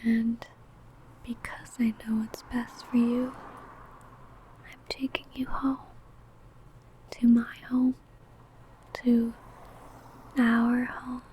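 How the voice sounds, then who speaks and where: A woman's voice: a little high, little pitch movement, extremely slow, long pauses, mellow, slight background noise, poor audio. A woman playing an ancient, possessive, doting female vampire in a whispered, intimate ASMR roleplay, crooning breathily. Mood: protective, possessive.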